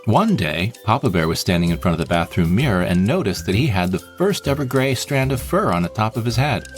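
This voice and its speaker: A man's voice: fairly low pitch, very animated, fairly quick, soft background music. A man hosting a podcast, talking conversationally and explaining things plainly, step by step. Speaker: podcast host and story producer.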